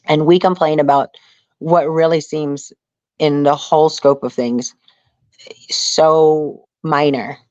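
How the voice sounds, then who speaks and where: A woman's voice: low voice, fairly even intonation, slow pace, darkish tone, dry recording. Earnest American woman, dull dry audio. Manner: earnest, rambling, peppered with "you know". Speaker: interview guest, outdoor survival show contestant and angler. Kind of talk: casual interview conversation.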